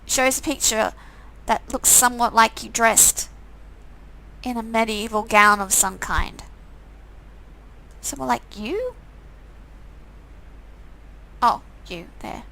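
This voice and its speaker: A woman's voice: a little high, expressive, takes it slowly, gravelly, pauses often, pushed and bright, rough recording. A woman reading a visual novel game aloud, with sarcastic, amused asides in a casual style. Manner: sarcastic, amused commenting between read lines.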